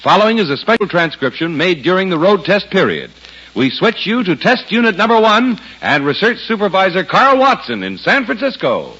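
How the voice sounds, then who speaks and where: A man's voice: high voice, sing-song, normal speed, bright, forceful tone, a little hiss or hum. A male announcer reading 1950s radio advertising in an emphatic, polished manner. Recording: dull old radio recording.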